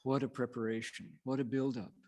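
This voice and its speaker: A man reciting and teaching Buddhist scripture as a monk, in a measured, dramatic storytelling manner with pauses. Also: mid-range voice, little pitch movement, dark tone. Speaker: Buddhist monk, sutra lecturer. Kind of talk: sutra recitation and dharma lecture.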